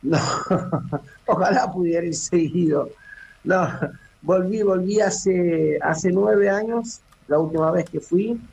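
A man's voice: high voice, slow pace, vocal fry, darkish tone, highs cut off, a little hiss or hum. A middle-aged man from Cape Verde, a former footballer talking as a phone guest in a casual radio interview, hesitant and full of restarts and fillers. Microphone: phone call.

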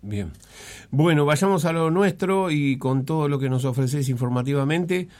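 A man's voice: on the high side, lively intonation, a little mellow. Hesitant radio host, a man. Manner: hesitant, puzzled, halting phrasing. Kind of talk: radio interview.

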